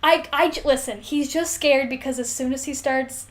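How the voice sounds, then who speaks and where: Very high-voiced, giggly woman podcaster. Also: normal speed. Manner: giggly, sweary, exaggerated reactions. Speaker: podcast co-host. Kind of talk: casual, joking book discussion chat.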